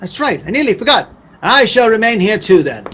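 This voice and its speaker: An actor voicing a genteel, excitable old-fashioned sidekick in a radio play, with quaint theatrical phrasing. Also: male voice, high voice, fairly quick, heavily acted. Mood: jaunty. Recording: noisy live video-call audio.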